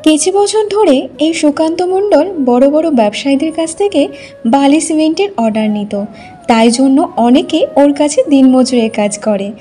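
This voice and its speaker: A woman narrates a scripted cartoon folk story. Her voice is high-pitched with a very mellow tone. Faint music plays underneath. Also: moderate pace.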